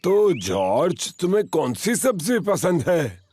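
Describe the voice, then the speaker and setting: A man's voice: high-pitched, very animated, slow, a little mellow, a little hiss or hum, full character voice. A man voicing a jolly, boastful, doting old grandfather pig in a children's cartoon dub, hearty and coaxing. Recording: music under the voice.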